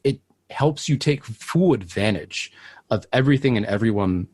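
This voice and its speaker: A man's voice: medium pitch, lively intonation, moderate pace, a little mellow, breathy edge. A man co-hosting a podcast interview, chatting loosely and rambling with lots of filler words. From United States. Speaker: podcast co-host. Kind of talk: casual interview chat.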